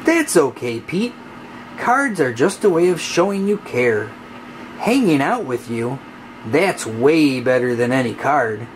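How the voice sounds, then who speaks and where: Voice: mid-range voice, big pitch swings, a little slow, slight background noise. A storybook reader voicing a young female cat who is upbeat, insistent and reassuring to a friend. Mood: caring.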